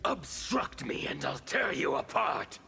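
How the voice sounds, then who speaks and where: An actor playing a fanatical, bitter anarchist warlord in a video game, with a gravelly voice and a theatrical, sneering delivery. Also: male voice, on the high side, sing-song, unhurried, bright, forceful tone, slight background noise, exaggerated voice. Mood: menacing. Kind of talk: video game villain dialogue.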